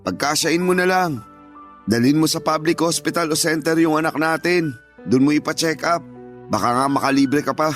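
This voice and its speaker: Casting: actor as evasive, exasperated husband, slightly high male voice, curt and dismissive radio drama delivery over music. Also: normal speed, fairly bright tone, natural voice. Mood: dismissive, defensive. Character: irritable, evasive, dismissive husband, tired from work.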